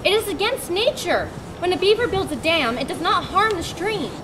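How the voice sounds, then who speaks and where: A woman's voice: extremely high pitch, lively intonation, moderate pace. A woman reading the part of a proud, prickly male nature writer in an amateur dramatic reading, emphatic and indignant. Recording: outdoor traffic noise behind. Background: traffic noise.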